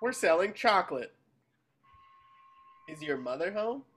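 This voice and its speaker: A man's voice: sits high, big pitch swings, a little slow, over loud music. A man voicing an earnest, eager, boyish cartoon sea sponge in a table read, coaxing as he talks.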